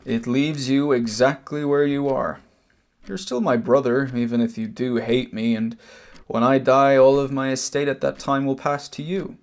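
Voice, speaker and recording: An amateur actor with an Irish accent playing a wronged older brother back from abroad, telling his story in a deliberate, pointed way. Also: medium pitch, flat delivery, natural voice. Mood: firm. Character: wronged older emigrant brother, cancer survivor, measured, bitter. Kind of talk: amateur stage drama acting, long monologue.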